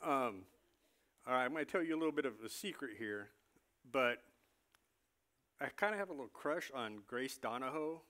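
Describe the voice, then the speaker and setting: A man playing a chatty, jittery doctor in an amateur comic staged reading, speaking in a casual, halting, confiding way. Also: medium pitch, lively intonation, bright, forceful tone. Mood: confiding, nervous. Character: chatty, jittery male doctor moonlighting as security supervisor.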